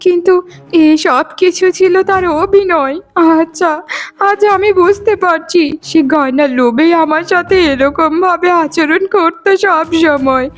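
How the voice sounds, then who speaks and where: A woman's voice: very high-pitched, measured pace. A woman voicing a frail, trusting elderly mother-in-law in a melodramatic cartoon, with a plaintive delivery. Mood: hurt, accusing. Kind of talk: melodramatic cartoon moral-story voice acting.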